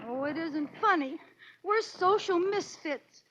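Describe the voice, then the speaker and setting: An actress playing an excitable, scheming young housewife in an American 1940s radio comedy, with a melodramatic manner that makes much of small troubles. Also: female voice, very high-pitched, a little slow. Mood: wailing distress. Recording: noisy, muffled old radio recording.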